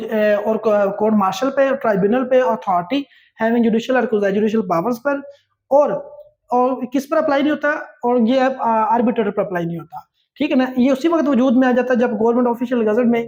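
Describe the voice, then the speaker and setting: A man's voice: very high voice, a little mellow. A man with a Pakistani accent lecturing as a law teacher, reading aloud and explaining in a repetitive, instructional way with frequent check-in tags.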